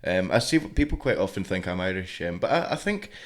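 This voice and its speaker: A man's voice: sits low, sing-song, fast talker. A Scottish man, a comedian guest, chatting casually on a podcast, laid-back and swearing freely, trailing off with ums. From Scotland.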